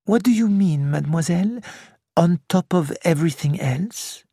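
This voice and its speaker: A reader voicing an elderly male detective who speaks gently and slowly, with a self-assured manner. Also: medium pitch, animated, husky, no echo, studio quality. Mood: gentle. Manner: gentle, measured, slow.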